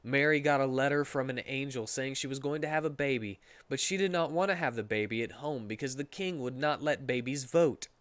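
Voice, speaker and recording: A man's voice: medium pitch, brisk, few pauses, a bit bright, dry recording. Man doing a dry-room comedic dramatic reading. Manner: breaks into laughter, stumbles over words. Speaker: streamer reading a story aloud.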